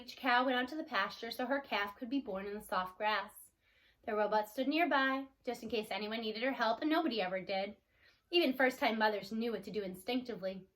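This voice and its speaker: A woman's voice: sits high, fairly bright tone. A woman narrating a children's storybook read-aloud.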